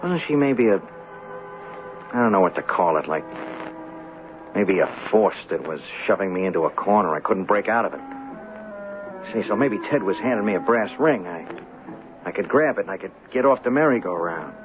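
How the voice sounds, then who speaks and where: An actor playing a young small-time hustler, weary and defensive, confessing in colloquial, hesitant radio drama style. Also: male voice, low-pitched, lively intonation, normal speed, long pauses. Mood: defensive, rueful. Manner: colloquial, hesitant, self-justifying. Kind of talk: radio drama acting, first-person confession. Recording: muffled, noisy old radio drama broadcast.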